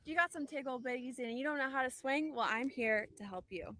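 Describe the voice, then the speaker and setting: A man putting on the voice of a sassy woman golf instructor who gives swing tips, sounding high and breathy. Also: brisk, bright, forceful tone, no room sound. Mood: teasing.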